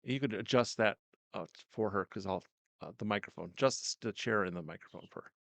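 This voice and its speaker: An older man hosting a casual interview in a small studio, talking loudly and coaxingly into a directional mic. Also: fairly low pitch, brisk, creaky, no room sound. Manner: loud, coaxing, chatty. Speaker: host.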